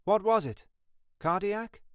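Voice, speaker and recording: A man's voice: high voice, animated, takes it slowly, fairly bright tone, clear, resonant tone, no echo, pitched above the performer's own voice, exaggerated voice. A man voicing a blunt, unfazed female detective sergeant with a dry manner.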